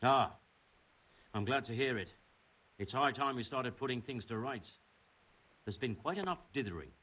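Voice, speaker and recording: A man voicing a serious, impatient chief engineer in a medieval video game, speaking in a brisk, formal, businesslike way. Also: medium pitch, expressive, bright, forceful tone. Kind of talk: scripted video game dialogue.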